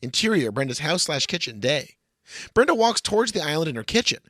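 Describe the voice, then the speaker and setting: A man's voice: a little high, big pitch swings, a little fast, pushed and bright, no echo, clean studio sound. A man co-hosting a comedy podcast, bantering and giving dramatic readings of a screenplay in a bossy, sarcastic, mock-earnest way. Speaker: podcast co-host.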